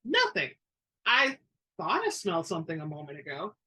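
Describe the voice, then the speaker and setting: Brash, husky-voiced female burglar acted by a woman. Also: on the low side, wildly swooping pitch, moderate pace, sharp tone, heavily acted. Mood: puzzled. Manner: blunt, emphatic. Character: brash, confident female burglar with keen nose.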